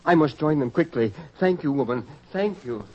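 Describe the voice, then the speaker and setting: An actor playing an earnest biblical apostle in a radio drama, speaking in a formal, solemn, husky voice. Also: male voice, fairly high pitch, expressive, moderate pace, natural voice. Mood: urgent. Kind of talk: old-fashioned radio drama acting. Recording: dull lo-fi radio drama recording.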